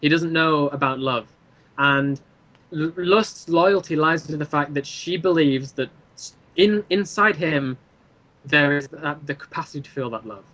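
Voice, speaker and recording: Man, raised pitch, eager rambling explainer, casual interview guest. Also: unhurried, fairly bright tone, low-quality recording. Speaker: guest, creator of an audio drama series.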